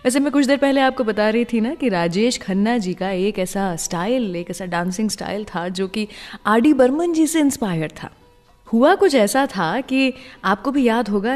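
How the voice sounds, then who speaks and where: A woman's voice: mid-range voice, a little mellow. A woman presenting a music radio show, speaking conversationally and directly to her listeners. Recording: long reverb, music playing behind. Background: background music.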